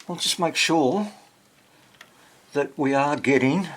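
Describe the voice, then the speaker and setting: A man giving casual hands-on repair narration, haltingly muttering to himself as he works and thinks aloud. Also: a little high. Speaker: hobbyist radio restorer, presenter.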